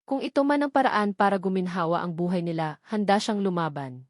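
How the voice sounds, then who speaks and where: A woman's voice: medium pitch, expressive, brisk, dry recording, studio quality. A woman reading scripted narration of a romance story as its narrator.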